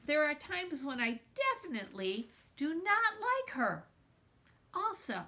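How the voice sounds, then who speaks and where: A woman reading a children's novel aloud in an expressive, dramatizing storyteller's manner. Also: a little high. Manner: animated, dramatizing dialogue and feelings. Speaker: children's book reader. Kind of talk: expressive read-aloud of a children's novel in a girl's first person.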